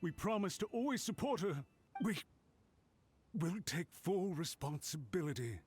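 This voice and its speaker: An actor playing a contrite, deferential government servant, pleading formally in scripted video game dialogue. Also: male voice, sits high, big pitch swings, unhurried, darkish tone. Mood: apologetic, pleading. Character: contrite, deferential male government servant, anxious to make amends. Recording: game music behind the voice.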